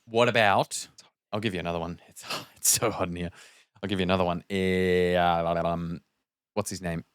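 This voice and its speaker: A man with a fairly low voice talks in a casual, conversational way as a podcast co-host. The recording sounds dry. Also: fairly even intonation, normal speed, clear, resonant tone, dry recording.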